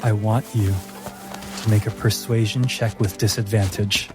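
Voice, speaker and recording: A man narrating a dramatic tabletop roleplaying story as game master, measured and deliberate, with theatrical pauses. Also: on the low side, fairly even intonation, loud music behind the voice.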